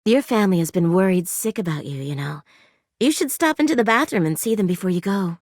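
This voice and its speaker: A woman's voice: mid-range voice, expressive, brisk, no echo, clean studio sound, natural voice. A woman voicing a doting, motherly family friend in a video game, cooing and fond. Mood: tender, gently chiding. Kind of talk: video game character acting.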